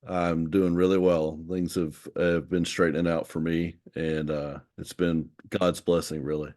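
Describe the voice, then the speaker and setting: Deep voiced dude hosting a podcast, mellow and laid back. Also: male voice, flat delivery, measured pace. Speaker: podcast host. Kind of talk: casual podcast interview.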